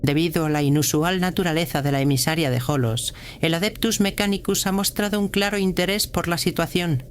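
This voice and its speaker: A woman playing a stern senior prioress of a militant church in an audio drama, speaking in a measured, formal, authoritative way. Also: on the low side, steady tone, a little hiss or hum, close to an everyday voice. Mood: cold, composed.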